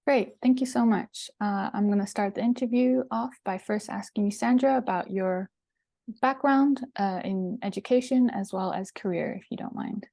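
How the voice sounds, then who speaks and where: A woman's voice: medium pitch, normal speed, creaky, warm tone, dry recording, clean studio sound. A woman hosting a formal interview, polite and a little hesitant with fillers.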